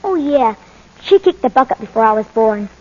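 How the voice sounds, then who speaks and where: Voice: mid-range voice, expressive, moderate pace, lightly acted. A performer playing a streetwise, plain-spoken young boy with slangy speech in a 1940s radio drama. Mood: bewildered. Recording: muffled, noisy old 1940s radio recording.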